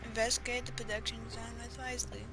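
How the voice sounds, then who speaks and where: Female game streamer: high-pitched, slow, slangy, casual reactions over game music. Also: level tone. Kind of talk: casual gameplay commentary.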